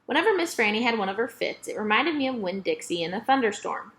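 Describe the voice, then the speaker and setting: A woman narrating a children's novel aloud in an expressive, emphatic storytelling manner. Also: a little high, fast talker, a little hiss or hum.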